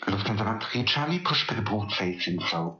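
A man's voice: on the low side, animated, brisk, pushed and bright, reverberant, lo-fi sound, faint music behind. A man working as a virtual air traffic controller, speaking courteous, procedural radio phraseology over an online voice link.

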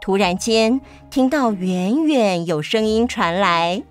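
A woman's voice: mid-range voice, takes it slowly, soft background music. A woman narrating a scripted story for children.

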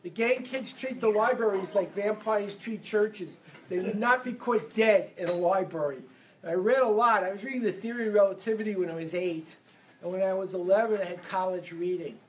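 A man from Brooklyn, giving a lively motivational seminar talk and getting the audience to answer back. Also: high voice, moderate pace, slight background noise, poor audio. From Brooklyn, New York. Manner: energetic, leads audience call-and-response. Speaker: seminar speaker. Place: event room with a live audience.

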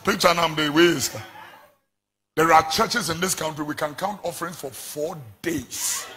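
A middle-aged man with a Ghanaian accent, a pastor preaching a fiery sermon into a handheld mic in a large church hall, shouting in sing-song refrains. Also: on the high side, unhurried, slightly rough.

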